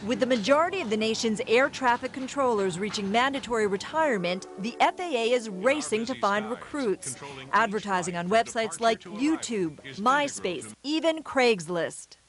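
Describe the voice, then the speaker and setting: Lady reporter narrating a news piece, voice a little high. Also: lively intonation, moderate pace, hardly any pauses. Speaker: news correspondent.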